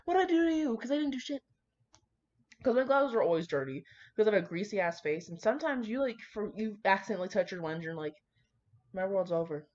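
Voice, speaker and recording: A woman's voice: medium pitch, sing-song, a little fast, a little mellow. A woman reading aloud casually with chatty asides, irreverent, slangy and sweary in her manner. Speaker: host reading fan fiction aloud. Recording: dull, lo-fi recording.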